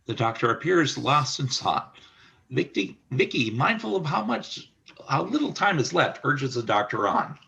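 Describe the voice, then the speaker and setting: A man's voice: medium pitch, very animated, measured pace, vocal fry, sharp tone. A man reading scripted stage-direction narration for a radio play.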